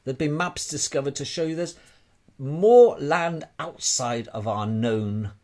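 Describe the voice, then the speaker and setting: A man's voice: on the high side, animated, measured pace, a little mellow. A man giving an informal, emphatic explanatory monologue as an amateur presenter, repeating phrases and posing rhetorical questions.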